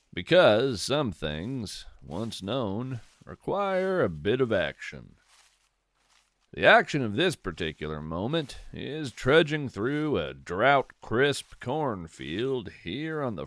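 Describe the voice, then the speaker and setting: Unhurried male storyteller with expressive, varied intonation. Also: lowish voice, dry recording. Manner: measured, musing, conversational asides; literary, descriptive phrasing. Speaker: podcast host and storyteller. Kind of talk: scripted first-person narration of cozy folklore fiction.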